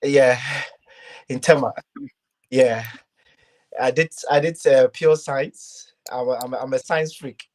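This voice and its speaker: Earnest young man with halting restarts. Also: medium pitch, steady tone, darkish tone. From Ghana. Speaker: guest. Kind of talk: casual interview talk.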